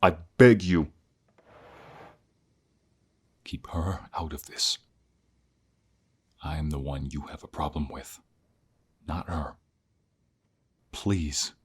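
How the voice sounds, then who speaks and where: A man playing a protective captive ex-mobster in an audio roleplay, earnest and emotional, with a husky, breathy voice. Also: very deep voice, slow, long pauses, full character voice. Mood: pleading. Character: protective captive ex-mobster, devoted to his fiancée. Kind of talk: scripted audio roleplay acting, ASMR.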